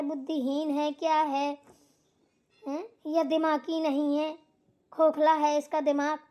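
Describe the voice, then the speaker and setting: A woman voicing a bossy, scolding elder sister-in-law in a Hindi family drama cartoon, hectoring and preachy. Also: sits high. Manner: hectoring, sharp-tongued, preachy.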